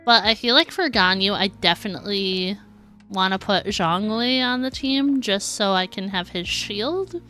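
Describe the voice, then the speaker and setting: A woman's voice: mid-range voice, measured pace, sharp tone, faint music behind. A woman livestreaming a video game, chatting casually and informally with viewers in a giggly, easygoing way. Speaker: live streamer. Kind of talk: casual gaming livestream chat.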